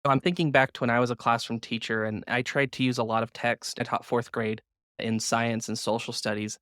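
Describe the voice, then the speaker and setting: A man of medium pitch speaks as the host of an educational interview. His delivery is conversational and earnest, as if he is thinking aloud. The sound is dry, with almost no room in it. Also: flat delivery, fairly quick, fairly bright tone, no echo. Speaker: podcast host, former classroom teacher.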